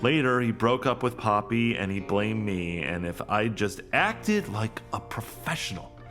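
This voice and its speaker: An actor playing an anxious, guilt-ridden HR professional in a podcast drama, agitated and self-blaming in a naturalistic style. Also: male voice, on the low side, expressive, measured pace, a bit bright, faint music behind. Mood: tense, regretful. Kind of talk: naturalistic audio drama acting.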